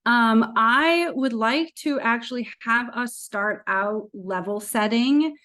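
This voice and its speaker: A woman's voice: on the high side, takes it slowly, fairly bright tone. A woman with an American accent moderating an online panel in an informal, conversational way. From United States, Indiana. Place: virtual event video call.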